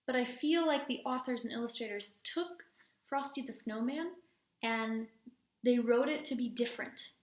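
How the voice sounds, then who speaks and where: A woman teacher reading a storybook aloud to children, expressively, pausing to put questions to them. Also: a little high, a bit bright. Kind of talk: storybook read-aloud with class discussion. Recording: echoey room.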